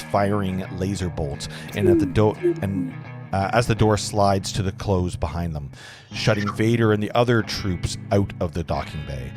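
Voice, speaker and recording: A man acting as narrator at a table read, reading screenplay stage directions aloud earnestly, with the odd stumble. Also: low-pitched. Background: music.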